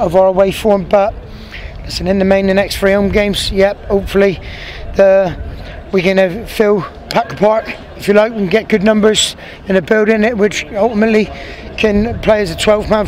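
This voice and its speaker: English football manager in his 30s, pitchside post-match chat, blunt and repetitive, outdoor noise audible. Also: flat delivery, normal speed, darkish tone. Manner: frank, repeats himself, many restarts. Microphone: handheld interviewer mic, medium framing. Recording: outdoor noise and passing traffic.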